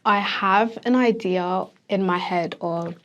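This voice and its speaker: A woman's voice: medium pitch, takes it slowly, a bit bright, dull audio, slight background noise. A 22-year-old woman, a neuroscience student, chatting casually as a podcast guest, laughing often and filling her speech with "like" and "um". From grew up in the UK. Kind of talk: casual interview chat.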